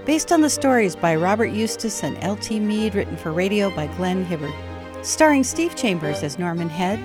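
A woman reading the closing credits of a radio drama in a crisp, formal way. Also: mid-range voice, big pitch swings, moderate pace. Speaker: announcer. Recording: music bed underneath. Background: violin music.

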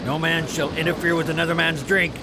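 An actor playing a hardened, wry pirate quartermaster who rallies the crew in a radio drama. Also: male voice, a little high, little pitch movement, a little fast, very noisy, natural voice. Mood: matter-of-fact.

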